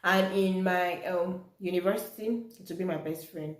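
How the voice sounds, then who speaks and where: A woman's voice: lowish voice, fairly even intonation, moderate pace. A young Nigerian woman, a native Yoruba speaker, vlogging in a casual, chatty storytelling way to her viewers. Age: young adult. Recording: echoey room.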